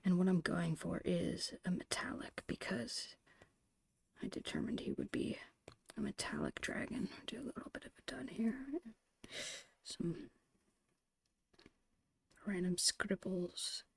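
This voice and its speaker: A woman's voice: lowish voice, unhurried, pauses often, no echo. A woman whispering in an ASMR style, casually thinking aloud in short phrases as she narrates her own digital painting. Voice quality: breathy whisper.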